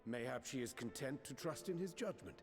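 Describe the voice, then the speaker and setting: A courtly sage from a fantasy game. He speaks deliberately, in formal archaic turns of phrase. Long hall reverb surrounds him, with music underneath. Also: mid-range voice, very animated, darkish tone. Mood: grave, musing. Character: courtly male sage with archaic, cryptic speech. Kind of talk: scripted video game voice acting.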